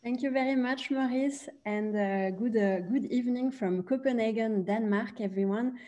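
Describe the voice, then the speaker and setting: A woman with a French accent introducing herself as a panelist on a webinar, polite and measured with frequent fillers. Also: fairly high pitch, warm tone. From France.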